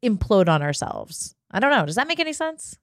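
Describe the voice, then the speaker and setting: A woman's voice: a little high, big pitch swings, a little fast, no room sound, studio quality. A woman co-hosting a podcast, talking in a casual, conversational way. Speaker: podcast guest co-host.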